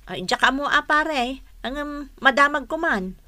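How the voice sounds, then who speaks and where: Bright, forceful Filipino village housewife. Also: female voice, high voice, lively intonation, unhurried, dry recording, full character voice. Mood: doubtful. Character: plain-spoken village housewife, suspicious, easily aggrieved. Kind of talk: Filipino comedy radio drama acting.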